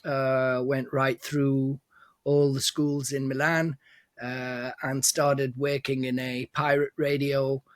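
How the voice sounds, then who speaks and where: A man's voice: mid-range voice, little pitch movement, slow pace, no echo. A man in his forties from Italy, talking casually as a guest DJ in an interview, with frequent restarts, fillers and laughter. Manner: hesitant, many restarts and fillers, laughs often. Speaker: guest DJ and producer.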